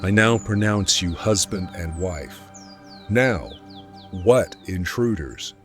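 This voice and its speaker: An actor playing a stern, impatient medieval friar in an audio drama, terse and formal. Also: male voice, low-pitched, expressive, slow, over music.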